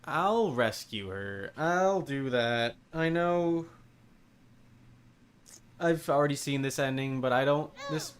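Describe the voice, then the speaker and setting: A man's voice: medium pitch, animated, slow, smooth. A man giving casual live commentary as he streams a video game and reads its prompts.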